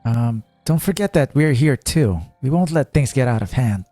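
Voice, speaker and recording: A man's voice: mid-range voice, lively intonation, moderate pace, dark tone, no room sound. A man giving casual, quippy, joking gameplay commentary as a streamer. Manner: quippy, playful. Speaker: game streamer. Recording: dry mic, faint game music behind.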